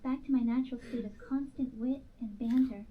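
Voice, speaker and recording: A woman's voice: on the high side, fairly even intonation, brisk, natural voice. A synthetic woman's voice playing a flirty, sassy female AI chat companion with cheeky, playful banter. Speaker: AI companion app voice. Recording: tinny phone-like speaker playback.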